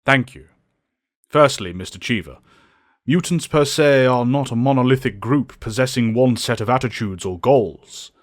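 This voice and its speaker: Guy playing calm dignified professor, medium pitch, lecture-y n precise, dry audio drama. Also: normal speed, dry recording, close to an everyday voice. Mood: composed. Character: dignified, learned male professor, calm and principled.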